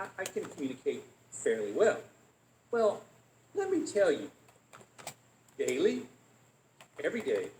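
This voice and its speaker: A man's voice: a little high, a little mellow, slight background noise. A man giving a lecture to an audience, who restarts phrases often and checks in with "okay?". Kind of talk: animated academic lecture. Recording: echoey room.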